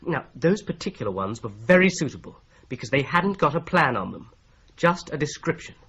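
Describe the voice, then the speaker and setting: An actor playing a methodical solicitor-detective who patiently lays out a fraud theory, in a radio drama. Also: male voice, a little high, very animated, fairly quick, fairly bright tone, slight background noise. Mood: explaining. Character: Boone, shrewd young male solicitor-detective, patient explainer. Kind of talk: BBC-style radio drama acting. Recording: dull lo-fi radio play recording.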